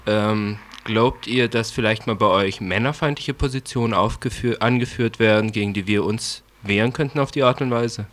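A man speaking as a radio music editor in a spontaneous debate, arguing defensively and haltingly. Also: on the low side, fairly bright tone.

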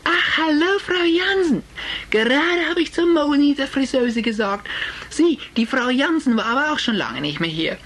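A man's voice: very high voice, animated, moderate pace, a bit bright, pitched above the performer's own voice, heavily acted. A man voicing a gossipy middle-aged female village hairdresser in an audiobook, nosy and cloying in his delivery.

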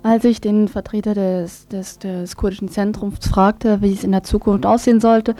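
A woman's voice: medium pitch, level tone, normal speed, mellow, no room sound. A woman working as a local radio reporter, interviewing and summarising in an informal, unpolished way. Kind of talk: semi-scripted local radio news report.

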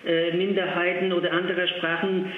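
Man, halting and full of uhs, tinny phone line, heavy echo. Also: high voice, level tone, slight background noise. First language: Polish. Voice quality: halting, many filled pauses. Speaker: interview guest, language centre official. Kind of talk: phone interview. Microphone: telephone.

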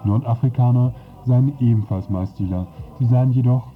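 A man's voice: mid-range voice, moderate pace. A man reading a scripted satirical mock report as a radio presenter. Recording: dull lo-fi radio recording over music. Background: music.

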